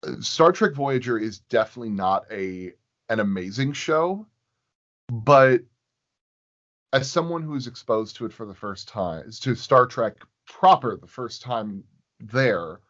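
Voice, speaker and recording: A man chatting casually as a podcast guest, halting and pausing as he thinks aloud. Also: mid-range voice, expressive, fairly bright tone. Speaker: podcast guest, sound designer. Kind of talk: casual interview chat. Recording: dull, lo-fi voice recording.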